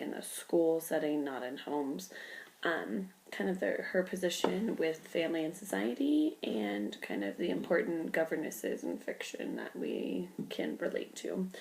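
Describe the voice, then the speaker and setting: A woman's voice: lowish voice, darkish tone, low-quality recording. A young woman with a cold and a stuffy voice, giving an informal class presentation full of ums and likes. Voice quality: gravelly creak. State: congested with a cold. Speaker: student presenter.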